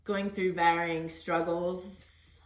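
A woman with a fairly low voice talks as a podcast host in a very roomy, echoey space. She is earnest and encouraging, speaking conversationally as she interviews. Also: level tone, takes it slowly.

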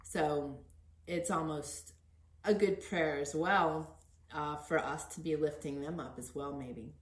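A woman hosting an informal podcast interview, gently prompting and encouraging. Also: sits low, steady tone, slow. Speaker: podcast host. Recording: roomy echo.

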